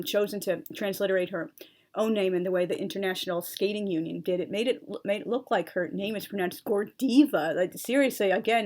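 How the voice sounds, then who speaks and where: A woman's voice: mid-range voice, darkish tone. A young adult woman explaining a topic at length in an earnest, precise way, spelling words out letter by letter, with chatty asides and fillers. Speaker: channel host explaining language. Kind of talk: talking-head explainer, partly read from notes.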